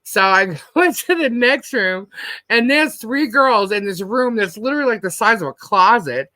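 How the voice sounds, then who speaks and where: A middle-aged American woman with a husky, deep-set voice that still cuts through brightly. She chats casually and bluntly, acting out bits of dialogue as she rambles through anecdotes. The sound is close and dry. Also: animated, moderate pace, no echo. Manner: blunt, slangy, animatedly quotes herself and others. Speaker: host of a live panel stream.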